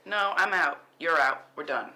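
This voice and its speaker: A woman's voice: sits low, measured pace, bright, forceful tone, heavily acted, poor audio. A woman reading the part of a tough, guilt-ridden woman in a naturalistic script table read. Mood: angry, firm.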